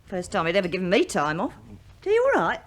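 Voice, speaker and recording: A woman's voice: mid-range voice, huge pitch swings, fast talker. A woman playing a nosy, cheeky English cleaning woman in a radio drama, chatting in an indignant, confiding way. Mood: chatty, confiding. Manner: chatty, indignant, conspiratorial gossip. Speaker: actress in a radio play. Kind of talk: British radio drama acting.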